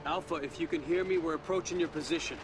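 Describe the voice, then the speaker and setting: A man plays a young, cocky soldier in a war game. His slightly high voice is wry and casual, with music playing behind it. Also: fairly even intonation, quick pace, natural voice. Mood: focused. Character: wisecracking young American soldier, cocky and irreverent.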